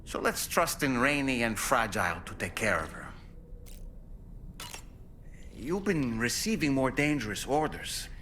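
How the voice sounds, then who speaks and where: Courteous puppet companion, earnest and formal, bright mid-range voice, faint noise behind. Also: male voice, medium pitch. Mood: reflective. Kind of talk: video game companion dialogue.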